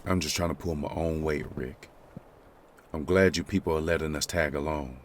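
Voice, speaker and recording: A man's voice: extremely low pitch, fairly even intonation, moderate pace, slight background noise. A man playing a hardened, haunted father surviving a zombie apocalypse in a comic dub drama. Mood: easygoing.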